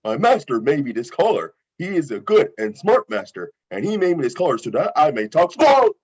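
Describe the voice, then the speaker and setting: A man's voice: fairly high pitch, very animated, brisk, darkish tone, husky. A man voicing an eager, naive, friendly talking dog with childlike earnest wording at a table read, on a muffled mic. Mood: proud. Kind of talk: animated film script table read. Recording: muffled lo-fi microphone.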